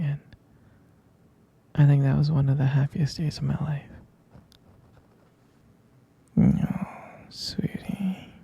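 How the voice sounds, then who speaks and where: A man playing an affectionate, doting boyfriend in an intimate ASMR roleplay, speaking in a soft, gravelly whisper with tender hesitations. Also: heavily acted. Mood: fond, shy, then gently coaxing. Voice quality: soft, breathy whisper with low gravelly fry. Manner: slow, tender, hesitant with pet names. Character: affectionate, doting male vampire boyfriend soothing partner to sleep.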